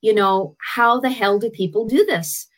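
A woman's voice: mid-range voice, unhurried, a bit bright. A middle-aged woman with a Canadian accent, a guest telling her life story frankly in a conversational way, with hesitant restarts. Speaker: interview guest, traditional healer. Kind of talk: candid personal storytelling.

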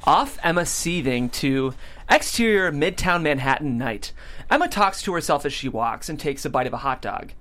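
A man's voice: fairly high pitch, lively intonation, moderate pace, a bit bright. A man, hosting and narrating a script read in an upbeat way.

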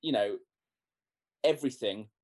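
A man's voice: mid-range voice, measured pace, darkish tone. A man, a musician, talking casually in an interview, rambling with many restarts and fillers. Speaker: guest musician.

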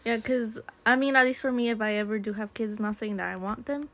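A woman's voice: on the high side, a little fast. A woman whose first language is Spanish, a guest chatting casually with frequent laughs and "like". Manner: chatty, giggly, lots of "like". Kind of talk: casual chat.

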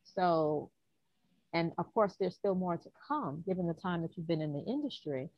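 A woman's voice: lowish voice, expressive, moderate pace, no echo, dull audio. A woman hosting a podcast interview, introducing her guest and asking him questions. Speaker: podcast host.

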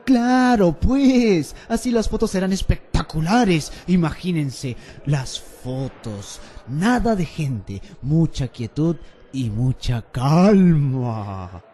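A man playing an excitable, theatrical young student in a radio drama. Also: fairly high pitch, takes it slowly, slight background noise. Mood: eager, plotting. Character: excitable, scheming young male student, easily spooked.

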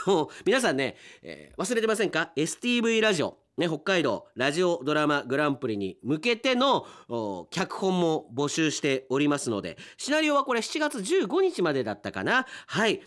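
Animated, higher-voiced male radio host. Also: normal speed, few pauses. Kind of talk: casual radio talk reading listener mail.

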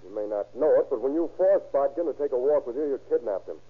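An actor playing a brusque, skeptical police detective who fires curt questions in a 1930s radio crime drama. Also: male voice, medium pitch, animated, quick pace, breathy edge. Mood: stern, pressing. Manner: curt, clipped, pressing questions. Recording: tinny, narrow-band old radio recording.

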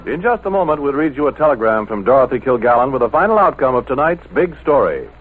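A man's voice: mid-range voice, big pitch swings, fast talker, loud music behind the voice. A man announcing on 1940s radio with a polished, emphatic salesman's delivery. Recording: tinny old radio broadcast.

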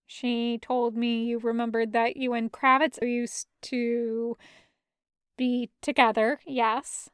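A woman voicing an awkward, mild-mannered man in an audio story, speaking in a halting, apologetic way. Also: on the high side, level tone, fairly bright tone, clear, resonant tone, dry recording, studio quality, pitched below the performer's own voice. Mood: uneasy. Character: awkward, mild-mannered male friend, conciliatory superhero.

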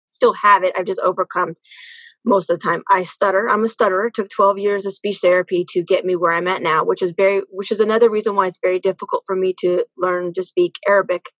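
A woman's voice: lowish voice, fairly bright tone, no echo, muffled recording. A woman giving casual, earnest answers as a podcast guest, often repeating phrases for emphasis. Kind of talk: casual interview conversation.

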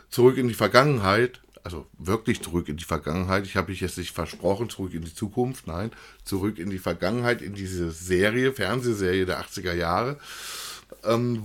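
A man hosting an unscripted, casual review chat, feeling his way through sentences with some repetition. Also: fairly low pitch. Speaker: podcast co-host reviewing audio dramas.

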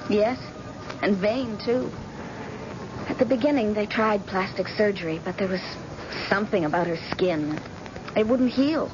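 An actress playing a young, anxious and devoted daughter with earnest, dramatic delivery in an old-time radio drama. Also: female voice, mid-range voice, unhurried, pauses often, natural voice. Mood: bitter, sorrowful. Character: blind man's devoted, anxious grown daughter. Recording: muffled, noisy old radio recording.

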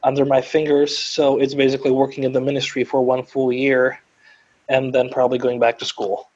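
A man's voice: mid-range voice, monotone, brisk, darkish tone, husky, dull audio. A man in his twenties from Croatia, a native Croatian speaker, telling his story earnestly as a guest in a podcast interview. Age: 20s. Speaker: guest organist.

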